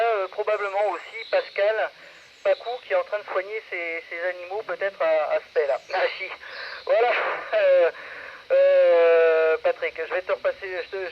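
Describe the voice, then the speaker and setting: A man casually hosting an amateur radio net, speaking in halting, hesitant phrases into a radio microphone. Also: sits high. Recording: muffled radio audio with background noise.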